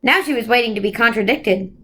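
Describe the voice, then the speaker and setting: A woman reading a children's novel aloud in an expressive storytelling way. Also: on the high side, brisk.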